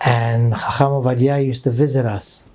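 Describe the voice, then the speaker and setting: A middle-aged rabbi from Brooklyn, telling personal stories as a guest in earnest, reminiscing talk sprinkled with Hebrew phrases. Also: male voice, medium pitch, little pitch movement, moderate pace, darkish tone. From Brooklyn, New York. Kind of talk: storytelling interview talk.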